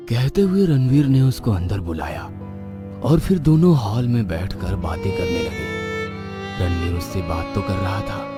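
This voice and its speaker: Low, lively male horror narrator. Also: unhurried. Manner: expressive, suspenseful. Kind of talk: dramatic storytelling narration. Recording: background music.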